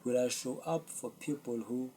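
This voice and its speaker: A young man, a Zulu speaker, hosting his own podcast and talking earnestly and reflectively to a camera with many pauses. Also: mid-range voice, dark tone, a little hiss or hum. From South Africa. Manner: slow, earnest, self-searching, with pauses. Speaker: podcast host. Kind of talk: solo reflective talk to camera.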